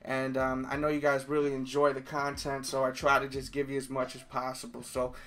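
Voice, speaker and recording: Chatty New York man, bright voice. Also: mid-range voice, monotone, fairly quick, soft background music. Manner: chatty, enthusiastic, rambling with fillers. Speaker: sneaker YouTuber. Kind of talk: casual vlog talk to viewers.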